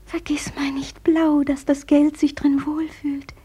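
Radio play sweetheart: lovestruck shopkeeper, high breathy voice, dreamy and adoring. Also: female voice, flat delivery, unhurried, warm tone. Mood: swooning, adoring. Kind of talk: literary radio play acting.

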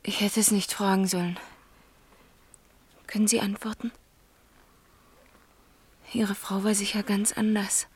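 An actress playing a frank, searching young woman in a radio drama, speaking in an intimate, halting way. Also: medium pitch, little pitch movement, breathy edge. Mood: hushed, hurt. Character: Carmen, frank, searching young daughter questioning an older man. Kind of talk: 1970s German radio play acting.

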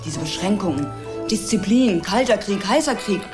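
Actress as dreamy, lively-voiced woman stowaway. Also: mid-range voice, normal speed, over loud music, natural voice. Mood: rapturous, dramatic. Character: dreamy, bold female stowaway, theatrically romantic and self-possessed. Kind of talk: German radio play acting.

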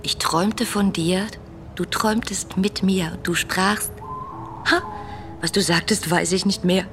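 A woman's voice: medium pitch, steady tone, unhurried, music in the background, close to an everyday voice. An actress playing a passionate, dreamy young woman in a German radio play, reading her letters in a lyrical, intimate, fervent manner. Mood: tender, yearning.

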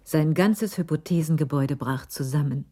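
A woman's voice: sits low, moderate pace, dark tone. A woman reading scripted narration for a German radio crime drama. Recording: faint music under the voice.

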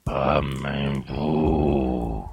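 Man voicing a gravelly, surly country innkeeper. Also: very deep voice, fairly even intonation, drawn-out words, darkish tone, dry recording, voice pushed down, exaggerated voice. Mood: guarded, grumbling. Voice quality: gravelly, growling. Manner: rustic colloquial speech, grumbling. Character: surly, grumbling middle-aged country innkeeper, resentful and guarded.

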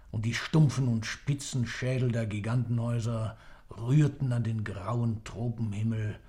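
A man's voice: mid-range voice, a little mellow, close to an everyday voice. A man playing a grave, obsessive witness who narrates a radio play in solemn, declamatory poetic style.

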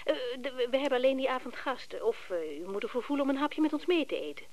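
A woman's voice: high voice, big pitch swings, quick pace, low-quality recording. An actress playing a bold, flirtatious and calculating wife of a wealthy businessman in a radio play, coaxing and forward. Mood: flirtatious, inviting. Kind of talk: Dutch radio play acting.

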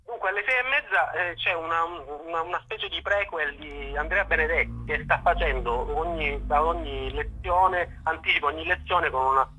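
Halting man, very sharp raspy voice, phone-in. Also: fairly high pitch, low-quality recording. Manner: halting, searching for words with repeated false starts. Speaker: journalist interviewed as guest. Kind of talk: spoken political and historical analysis in an interview.

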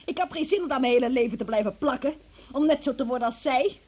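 A high-pitched young female suspect in a radio play. She is evasive and self-pitying. Her manner is pleading and petulant, with a defiant streak. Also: fast, darkish tone, husky. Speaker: radio drama actor. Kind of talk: emotional Dutch radio play acting.

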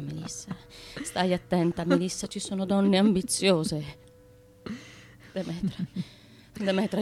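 A wounded, reserved noble wife who is expecting a child, in a stage-like radio drama. Her mid-pitched voice is plaintive and insistent. She can move from a murmur into anxious pleading. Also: a little mellow, slightly rough. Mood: desperate pleading. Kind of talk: theatrical radio drama monologue.

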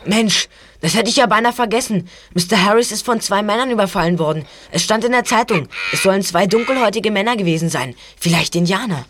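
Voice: medium pitch, quick pace, fairly bright tone, a little hiss or hum, lightly acted. An actor playing an eager, studious teenage boy detective in an audio drama. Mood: excited. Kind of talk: hobby audio drama acting.

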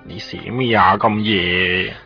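An actor playing a childlike, simple, affectionate character in a Cantonese radio drama, speaking haltingly with little hums. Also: male voice, fairly low pitch, fairly even intonation, soft background music. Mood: curious, innocent. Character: childlike, simple, affectionate character missing a beloved big sister. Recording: dull, muffled 1990s radio recording.

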